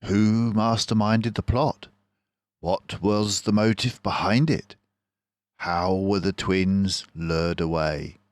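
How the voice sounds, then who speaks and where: An older man narrates a children's detective storybook aloud. His voice is fairly low and he takes his time, very slow and deliberate with each phrase. The sound is close and dry. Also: fairly even intonation, dry recording.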